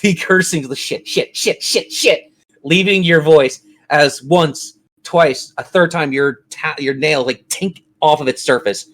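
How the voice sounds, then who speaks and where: A man acting as game master, narrating and refereeing a tabletop game in a suspenseful, drawn-out way. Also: fairly high pitch, a bit bright.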